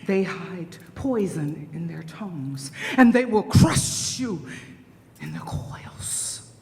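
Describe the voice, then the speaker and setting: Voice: mid-range voice, sing-song, pitched below the performer's own voice. A man as stern mother frog, long hall reverb. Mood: grave warning. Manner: slow, emphatic, admonishing. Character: alarmed, stern mother frog warning her son.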